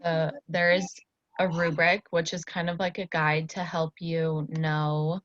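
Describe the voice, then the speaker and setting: An American woman teaching students informally, explaining step by step in a halting way. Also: sits low, level tone, a bit bright. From Iowa, United States. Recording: dull lo-fi audio with faint noise.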